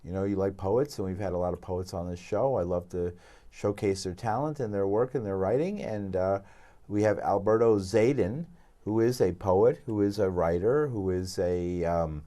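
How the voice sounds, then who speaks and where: Low-voiced male radio host, halting. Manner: relaxed, halting with many fillers. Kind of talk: radio interview. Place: radio studio.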